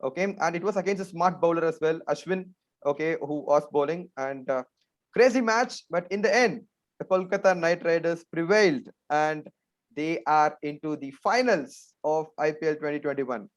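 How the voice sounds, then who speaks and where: A man's voice: on the high side, moderate pace. A man with an Indian accent hosting a casual sports chat, conversational, often tossing tag questions to his co-panelists. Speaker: host of a cricket discussion show. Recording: dull, lo-fi band-limited sound.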